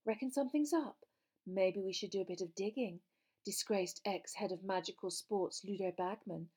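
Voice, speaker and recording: A woman's voice: mid-range voice, normal speed, warm tone, close to an everyday voice. A woman voicing a smug, cold-hearted female tabloid reporter in a gushing, condescending way for a story reading. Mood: scheming.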